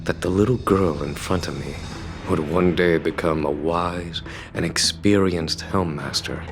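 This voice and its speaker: A slow, deep-voiced wise commander. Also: male voice. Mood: wistful, reflective. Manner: formal, deliberate, measured. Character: wise, measured male military commander, courteous and reminiscing. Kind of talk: video game dialogue acting. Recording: background music under the voice.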